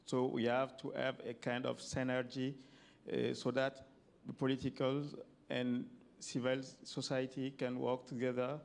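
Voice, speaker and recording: A man with a French accent, a government minister giving a formal speech in a slow, measured way with hesitant pauses. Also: medium pitch, monotone, creaky, clean tone. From French-speaking African country.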